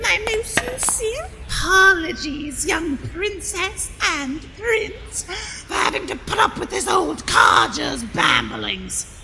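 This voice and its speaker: A woman playing a haughty, sharp-tongued old desert witch in an audio drama, with a cracked elderly voice and theatrical scolding delivery. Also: very high voice, huge pitch swings, slow pace, exaggerated voice. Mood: scornful. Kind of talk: fan audio drama acting. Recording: long hall reverb, clear background noise.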